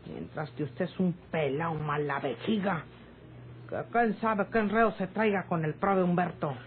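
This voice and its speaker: A woman with a northern Mexican accent playing a proud, sharp-tongued, poor servant in a radio drama. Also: lowish voice, moderate pace, natural voice. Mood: indignant. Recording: noisy, tinny old radio recording.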